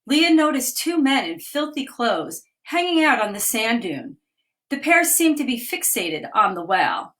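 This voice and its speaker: Lady reading a kids' picture book on a live stream, bit high voice, gentle and clear. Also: very animated. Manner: gentle, clear read-aloud pacing, pausing to point out the pictures. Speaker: children's author reading aloud. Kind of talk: storybook reading aloud. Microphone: phone or webcam on a live video stream.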